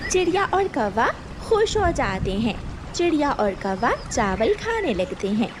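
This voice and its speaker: Female children's story narrator: very high, animated, expressive scripted read. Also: a little slow, noisy background.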